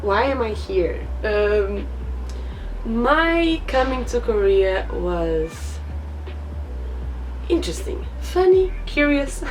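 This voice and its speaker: A woman's voice: mid-range voice, recorded from a distance, poor audio. A woman in her twenties from Portugal with a Portuguese accent, introducing herself in a chatty vlog, laughing often between thoughts. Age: 20s. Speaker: vlogger.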